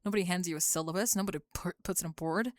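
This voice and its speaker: A woman playing a guarded, bookish young student in an ASMR roleplay, sharp-tongued but shy, with a halting, dry delivery. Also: fairly low pitch, no room sound, voice pushed down, close to an everyday voice. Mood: guarded, rueful. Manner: halting, dry, self-aware.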